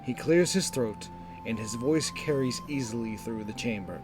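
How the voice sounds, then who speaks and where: A man narrating a scripted fantasy story in a measured, descriptive storytelling manner. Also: medium pitch. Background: music.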